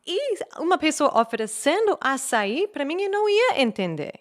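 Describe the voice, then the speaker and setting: A woman's voice: high voice, moderate pace. A woman from the United States, a native English speaker with an American accent, explaining language tips in a casual conversation. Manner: animated, explains with examples. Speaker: guest, English teacher.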